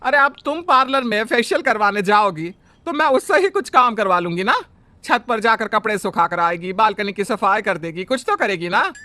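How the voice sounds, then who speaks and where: A man's voice: sits high, lively intonation, moderate pace, bright, forceful tone, dry recording. A man voicing a fussy, bossy middle-aged mother-in-law who scolds and complains. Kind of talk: Hindi family drama voice acting.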